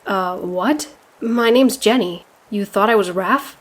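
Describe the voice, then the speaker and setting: A woman's voice: medium pitch, slow pace. A woman voicing a tough, sardonic young female ninja turtle in a comic dub, speaking in a dry, casual way. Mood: dry, cool.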